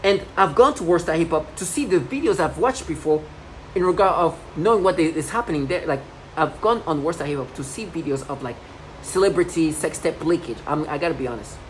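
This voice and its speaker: A woman's voice: deep voice, expressive, a little fast. A woman in her thirties in a rambling personal monologue, agitated and repetitive, with many restarts. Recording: noisy home recording.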